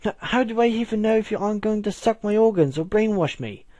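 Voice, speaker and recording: A man's voice: high-pitched, fast, warm tone, dry recording, pitched above the performer's own voice, natural voice. A man voicing a prim, anxious female pony from a story, with an attempted British accent and a fussy, indignant manner. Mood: alarmed.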